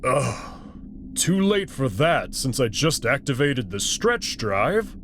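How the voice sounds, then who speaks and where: A man's voice: medium pitch, sing-song, slow pace, a bit bright, slight background noise. A man voicing an anxious, regretful male alien wolf in a visual novel reading. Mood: worried.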